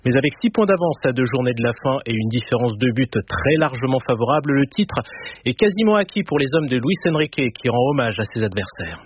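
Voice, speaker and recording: A man runs through the sports results in a brisk, factual radio voice. The sound is dull and lo-fi, with a faint hiss. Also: mid-range voice, expressive. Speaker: sports journalist.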